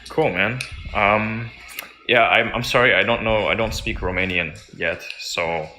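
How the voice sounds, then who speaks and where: Host, male, 30s, lowish voice, easygoing and reflective, echoey room sound. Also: a little slow, slight background noise. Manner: relaxed, reflective, asks friendly questions. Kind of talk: casual chat.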